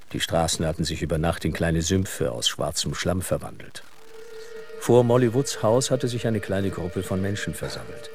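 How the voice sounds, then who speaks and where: A man narrating a dark thriller as the scripted storyteller of an audio drama. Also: deep voice. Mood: sober. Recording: clear background noise.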